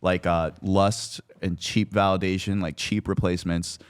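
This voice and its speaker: A man with a deep, low voice speaks as a guest in a casual conversation. He is candid and self-reflective, hesitating as he finds his words. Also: little pitch movement, moderate pace, clean tone. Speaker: podcast guest.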